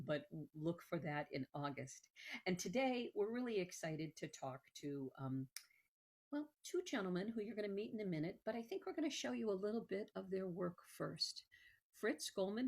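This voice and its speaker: Low-voiced woman hosting informally. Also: darkish tone. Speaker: host, museum executive director. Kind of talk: informal promotional talk to viewers.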